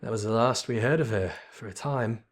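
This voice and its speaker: A man voicing a gruff, wistful veteran in a fantasy game, reminiscing in a folksy way. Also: lowish voice, fairly quick, lightly acted.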